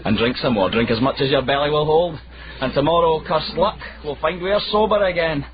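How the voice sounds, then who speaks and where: An actor playing a sardonic, hard-drinking advocate in a radio drama, wry and theatrically declaiming. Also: male voice, fairly high pitch, a little fast, a crowd in the background, lightly acted. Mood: merrily philosophical. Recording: muffled radio recording, crowded tavern.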